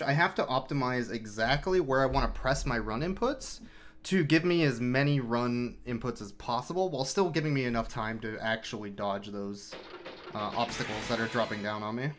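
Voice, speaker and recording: A man commentating his own video game speedrun in a relaxed, explanatory way, with sudden excited outbursts. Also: medium pitch, a little hiss or hum. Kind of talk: casual live-stream commentary. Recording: game music playing behind.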